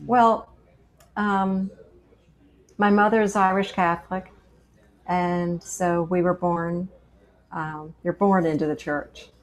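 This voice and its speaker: A woman's voice: on the low side, fairly even intonation, slow, pauses often, rough recording. A woman from Pennsylvania, a guest on a faith show, telling her life story in an earnest, anecdotal way and often restarting her sentences. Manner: earnest, anecdotal, repeats words as she restarts. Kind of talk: personal testimony in conversation.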